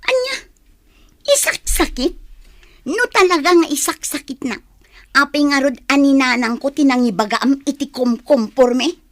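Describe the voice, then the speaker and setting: A headstrong student with a high voice and an Ilocano accent. She is young and resentful, delivering her radio drama lines with emphatic defiance. The sound is dry. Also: animated, measured pace, no room sound. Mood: angry, defiant. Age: young adult.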